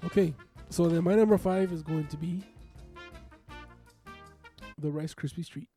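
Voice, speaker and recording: A man co-hosting a podcast with casual, jokey banter, his voice slightly raspy from being under the weather. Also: on the high side, fairly even intonation, measured pace, music in the background. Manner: playful, jokey. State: recovering from illness, slightly raspy. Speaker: podcast co-host.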